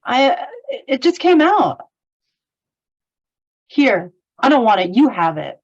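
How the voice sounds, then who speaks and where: A woman reads an earnest young hobbit lad in a fairly high, very expressive voice. The hobbit sounds anxious and sincere, in a fantasy script read with slightly dull sound. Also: takes it slowly, breathy edge, heavily acted.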